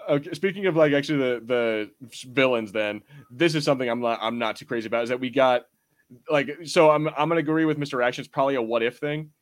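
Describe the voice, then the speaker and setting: A man's voice: mid-range voice, fast. A man co-hosting a podcast, chatting casually in a rambling, slangy way. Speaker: podcast co-host. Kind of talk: casual pop culture discussion.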